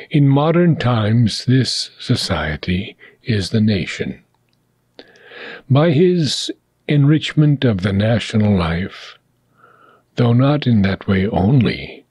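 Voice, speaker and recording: Slow breathy man reading aloud. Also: mid-range voice, a little mellow, dry recording. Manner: slow, measured reading with phrase ends sinking into whispers. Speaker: reader. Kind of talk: audiobook reading of a philosophical text.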